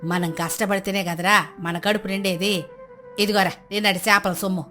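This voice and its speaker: Wise village granny played by a female narrator: kindly, advising, pitched well below the narrator's voice, over background music. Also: fairly even intonation, normal speed, pushed and bright, natural voice. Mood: caring, firm. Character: kind, wise elderly village grandmother who sells fish.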